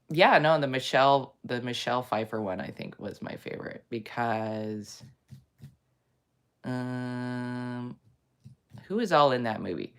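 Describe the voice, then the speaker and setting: A woman hosting a casual chat, rambling with many fillers, in a low morning voice. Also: a bit bright, clear, resonant tone. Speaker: host. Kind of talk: casual chat reading viewer comments.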